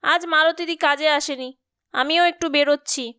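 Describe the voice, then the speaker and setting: A woman's voice: high voice, steady tone, normal speed, pushed and bright, no room sound, clean studio sound. A woman voicing a nagging, exasperated middle-aged mother in a cartoon, scolding and fretful. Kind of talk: cartoon story acting.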